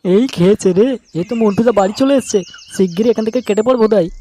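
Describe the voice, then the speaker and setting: Rapid-fire sly young cartoon troublemaker done by a man. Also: high-pitched, noisy background, lightly acted. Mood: panicked. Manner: urgent, slangy. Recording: cartoon dub with crowd and birds behind.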